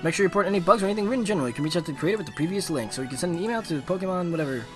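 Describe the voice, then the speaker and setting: A man live-streaming a game, giving excitable, casual, slangy commentary and reading on-screen text aloud. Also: high voice, very fast, faint music behind.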